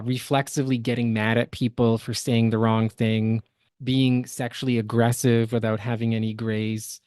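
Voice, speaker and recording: A man's voice: mid-range voice, a little mellow, clean tone, dry recording, studio quality. A man co-hosting a podcast, giving analytical, opinionated reaction commentary. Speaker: podcast co-host.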